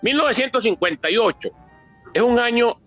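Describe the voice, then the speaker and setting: A man's voice: extremely high pitch, animated, moderate pace, fairly bright tone. A Venezuelan man in his sixties presenting his book in a public talk, discursive, with frequent asides and repeated words. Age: 60s. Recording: dull lo-fi audio, music behind.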